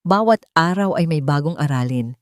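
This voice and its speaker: A woman's voice: animated, normal speed, no echo, studio quality. A woman narrating a romance story in a scripted storytelling style, giving the characters' quoted lines herself. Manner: plays every character herself, shifting pitch for quoted lines.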